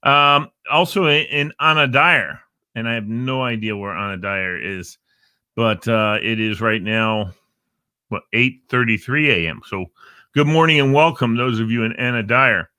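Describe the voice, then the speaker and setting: A man's voice: mid-range voice, a little slow, pushed and bright, clear, resonant tone, no echo, clean studio sound. A man hosting a casual show, rambling playfully with jokey asides about times and weather. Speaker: show host. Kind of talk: casual live show chat. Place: Northern Virginia.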